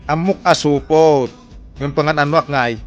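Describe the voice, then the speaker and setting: A man's voice: fairly high pitch, muffled recording, faint music behind, natural voice. An actor playing a teasing male neighbor in a radio drama, drawing out his words with a playful edge. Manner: teasing, chuckling, drawn-out words.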